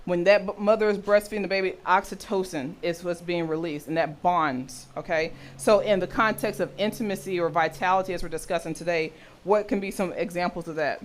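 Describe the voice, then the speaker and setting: Deep-voiced lady running a health class, lots of "okay?", little bit of hiss behind. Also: fairly even intonation, fairly quick, few pauses. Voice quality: deep voice for a woman. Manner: checks in often with "okay?" and quizzes listeners. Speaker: health and intimacy educator. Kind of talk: teaching a workshop session.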